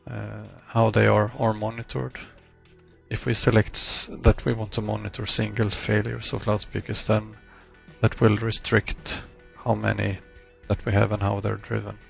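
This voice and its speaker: Male tutorial presenter, husky and fairly flat in intonation, measured explanatory delivery. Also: fairly low pitch. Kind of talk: screencast software walkthrough, explanatory.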